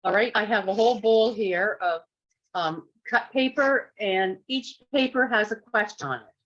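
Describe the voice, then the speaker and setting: Older woman, medium pitch, slightly dull audio. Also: normal speed, a bit bright. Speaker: club member running a question game. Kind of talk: casual explaining.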